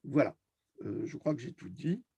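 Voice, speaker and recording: Indignant man, creaky husky voice. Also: mid-range voice, big pitch swings, moderate pace, warm tone, dry recording. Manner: emphatic, scornful, repeats phrases for effect. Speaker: host of an online video discussion. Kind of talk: impassioned, indignant rant.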